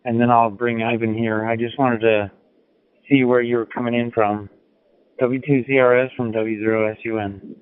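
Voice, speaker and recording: A man's voice: mid-range voice, little pitch movement, normal speed, smooth, no echo. A man chatting casually as an amateur radio operator, easygoing and encouraging. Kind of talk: casual ham radio chat. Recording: tinny narrowband radio transmission.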